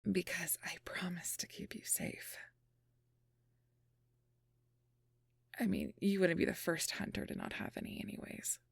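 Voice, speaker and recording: A performer playing a sarcastic, protective young supernatural hunter in an intimate audio role-play, with casual, wry and often breathy banter. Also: medium pitch, level tone, normal speed, no room sound, whispered, clean studio sound. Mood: wry, reassuring.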